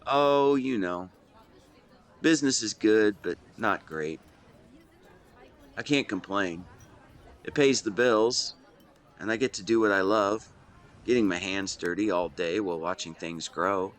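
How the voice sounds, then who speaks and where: A man's voice: on the low side, a little slow, pauses often, a faint crowd, close to an everyday voice. A man in his twenties playing a devoted, protective and secretly lovesick best friend in a conversational audio roleplay, earnest and playful with some hesitation. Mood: relaxed, chatty. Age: 20s. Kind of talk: intimate one-sided roleplay acting, conversational.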